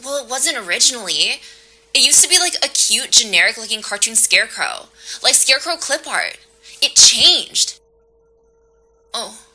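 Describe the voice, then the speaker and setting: A woman's voice: a little high, very animated, normal speed, bright, forceful tone, exaggerated voice. A woman playing a nervous, rambling, frightened client haunted by a scarecrow in a naturalistic audio drama. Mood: nervous, alarmed. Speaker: actor in an audio play.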